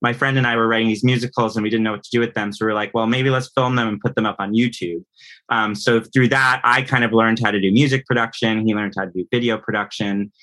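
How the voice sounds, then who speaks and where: A man's voice: lowish voice, steady tone, quick pace, fairly bright tone. A man in his thirties talking casually as an interview guest, explaining things and often checking in with the listener. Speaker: composer and audio producer guest.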